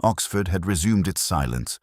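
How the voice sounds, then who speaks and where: A man playing a weary, pensive detective inspector who narrates his case in a measured, literary, brooding way. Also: low-pitched, expressive, dry recording, clean studio sound. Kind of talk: scripted first-person mystery narration.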